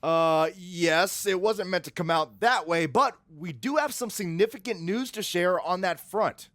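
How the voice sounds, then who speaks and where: Male wrestling presenter, high voice, dry studio sound, eager and a little awkward. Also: measured pace, fairly bright tone, no echo. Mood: apologetic. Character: eager, slightly awkward male sports broadcaster. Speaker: commentator and interview co-host. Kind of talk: sports broadcasting in game cutscene.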